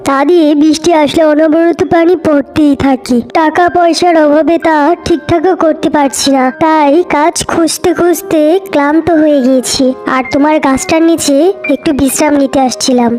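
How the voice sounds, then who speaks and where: Woman voicing a high-pitched, humble poor fox husband. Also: a little mellow, lightly acted. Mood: humble, grateful. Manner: pleading, folksy, plaintive. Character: poor, humble, hard-luck male fox villager, husband and father. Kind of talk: cartoon folktale character acting.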